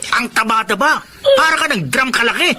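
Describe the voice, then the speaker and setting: Mean filipino boyfriend, mocking, piercing bright voice, very high for a guy, radio drama. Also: animated, fairly quick, a little hiss or hum, full character voice. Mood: scornful. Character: Billy, cruel young boyfriend, mocking and impatient. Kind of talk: Filipino audio drama acting.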